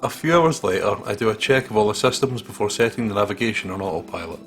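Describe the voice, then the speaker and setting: A man's voice: lowish voice, quick pace, fairly bright tone. Playful man with faint music behind. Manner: playful, jokey. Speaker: gaming video co-host. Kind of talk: reading game text aloud, joking commentary. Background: game soundtrack music.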